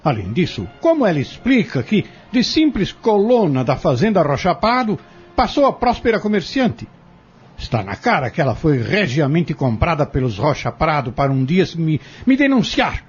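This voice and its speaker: Casting: male actor for cornered defendant in radio play, indignant, insistent, lively high-set voice; muffled audio over music. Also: moderate pace, husky, heavily acted. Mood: indignant, accusing. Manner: argumentative, rhetorical, insistent. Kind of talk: audio drama acting.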